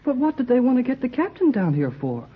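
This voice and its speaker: An animated, plucky young sidekick, full of breezy folksy slang. The delivery belongs to an old radio adventure serial. The sound is slightly muffled with faint noise. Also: female voice, fairly high pitch, fast talker. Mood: excited.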